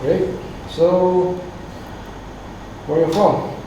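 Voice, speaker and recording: A man's voice: high voice, fairly even intonation, extremely slow. A man interviewing a musician, asking short, direct questions. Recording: distant mic in echoey room, background hiss.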